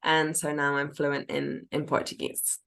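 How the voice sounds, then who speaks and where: A woman's voice: low-pitched, completely flat delivery, measured pace, creaky, a bit bright. A woman with a British accent, co-hosting a podcast and speaking casually. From United Kingdom. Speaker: podcast co-host. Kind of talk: casual chat.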